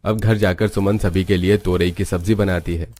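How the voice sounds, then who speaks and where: A man's voice: low voice, fairly even intonation, moderate pace, darkish tone. A man reading scripted narration for a moral story.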